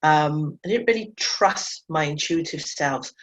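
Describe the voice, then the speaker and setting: A woman's voice: low-pitched, unhurried. A woman teaching animal communication in a webinar, speaking earnestly and reflectively with frequent self-corrections; English is not her first language. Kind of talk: webinar talk to learners. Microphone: video call mic. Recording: dull lo-fi webinar audio.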